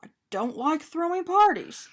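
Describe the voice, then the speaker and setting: A woman's voice: very high voice, big pitch swings, measured pace, voice pushed up, heavily acted. A woman voicing a fussy, polite and kindly man in a picture-book story, speaking in a matter-of-fact way. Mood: fussy, dismissive. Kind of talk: animated picture-book character reading.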